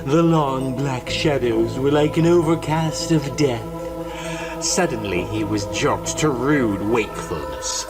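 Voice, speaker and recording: A man's voice: medium pitch, slow, loud music behind the voice. A man narrating a horror comic in a grave, dramatic, scripted storytelling style. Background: musical score.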